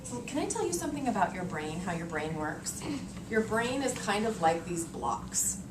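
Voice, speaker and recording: An American woman teaches a class of young children, her pitch swinging expressively as she explains and thinks aloud. She checks in often with small questions. She is picked up from a distance in an echoey classroom, with clear background noise. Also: very animated, normal speed. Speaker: elementary school teacher. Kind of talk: interactive read-aloud lesson for young children. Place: elementary classroom, indoors. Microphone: camera a few meters away.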